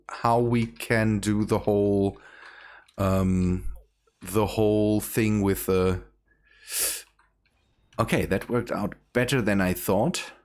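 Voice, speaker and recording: A man hosting a casual game stream, laughing often and restarting his sentences. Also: fairly low pitch. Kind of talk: casual gaming chat.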